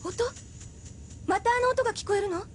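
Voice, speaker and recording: Island girl: young, anxious, informal, suspicious, extremely high voice, for audio drama. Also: moderate pace. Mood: worried, pleading. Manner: worried, informal, pleading. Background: faint music.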